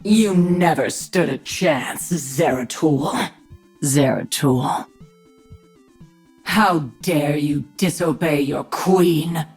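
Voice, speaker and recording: A woman playing a tough, commanding, sardonic warrior queen of an alien swarm in a video game, with a husky voice. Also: deep voice, very slow, a little mellow, soft background music, exaggerated voice. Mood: gloating, taunting. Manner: commanding, dry sarcasm. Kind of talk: video game character acting.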